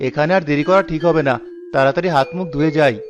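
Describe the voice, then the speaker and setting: A stingy cartoon villager played by a man grumbles in a fairly high voice at a very quick pace. He is irritable, suspicious and always on the defensive. Loud music plays behind the muffled recording. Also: lightly acted. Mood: scheming. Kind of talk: cartoon character voice acting.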